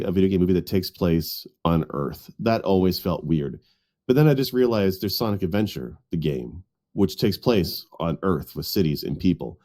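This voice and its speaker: Deep, mellow man explaining casually. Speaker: podcast panelist. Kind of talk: casual panel chat about movies. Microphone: remote video call.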